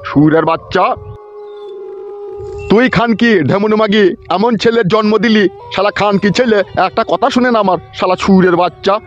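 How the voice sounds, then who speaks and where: A man's voice: sits high, moderate pace, highs cut off, faint music behind, close to an everyday voice. A man voicing an irate village father in a comedy cartoon, ranting and scolding with foul-mouthed indignation. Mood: furious scolding.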